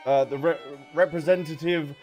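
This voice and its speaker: A man with a fairly high voice chats through a game stream, playful and teasing. Soft music plays behind him as he comments and reads on-screen text out in a casual way. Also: fairly even intonation, moderate pace.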